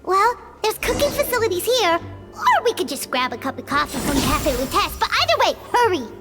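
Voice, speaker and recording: Tiny eager fairy sidekick from a game, super high n swoopy, music behind. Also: female voice, very animated, fairly quick. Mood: eager, caring. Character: small high-pitched cheerful female fairy companion, caring and eager. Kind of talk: video game voice acting.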